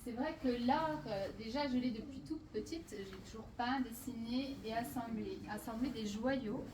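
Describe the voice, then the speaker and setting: A woman's voice: a little high, normal speed, noisy background. A woman painter from Portugal guiding visitors through her exhibition, speaking hesitantly with many fillers and restarts. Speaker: painter presenting her artworks. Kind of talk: guided exhibition walkthrough. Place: exhibition hall. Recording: roomy hall, speaker far from the mic.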